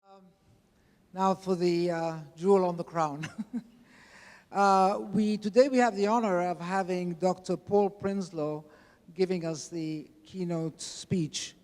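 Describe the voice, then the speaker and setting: A man hosting a conference, introducing the keynote speaker in a halting way with light laughs. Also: high voice, steady tone, darkish tone. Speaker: event host. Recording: lecture hall reverb.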